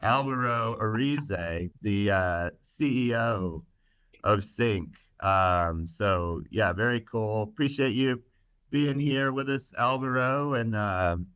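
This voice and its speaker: A man's voice: on the low side, slow pace, a bit bright, clean tone, no room sound. A man hosting a podcast casually, joking with his guests and using lots of fillers. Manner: joking, filler-heavy, upbeat. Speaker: podcast host.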